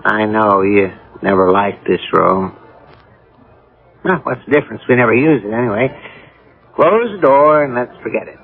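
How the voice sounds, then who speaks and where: An actor playing an easygoing, dismissive middle-aged American husband in a radio drama, offhand and conversational. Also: male voice, on the low side, lively intonation, normal speed, gravelly, long pauses. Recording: phone-like old radio broadcast.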